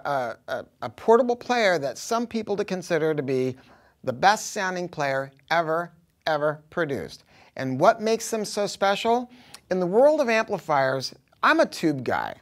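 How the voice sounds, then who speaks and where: A man's voice: on the high side, a bit bright. An American man in his sixties presenting audio gear to camera in a blunt, casual, emphatic way. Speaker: audio dealer presenting products. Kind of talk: casual promotional product talk to camera. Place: small acoustically treated listening room.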